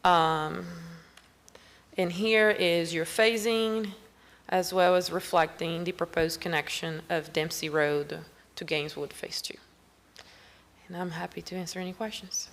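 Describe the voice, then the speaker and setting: A woman's voice: lowish voice, steady tone, a little slow. A woman on county planning staff, formally reading a staff report at a public meeting while losing her voice. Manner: formal, reads steadily with small restarts and clears her throat.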